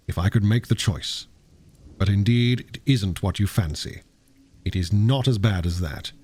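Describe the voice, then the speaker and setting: Guy doing victorian doctor in an audiobook, troubled n secretive, formal pleading manner, low husky voice. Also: darkish tone, full character voice.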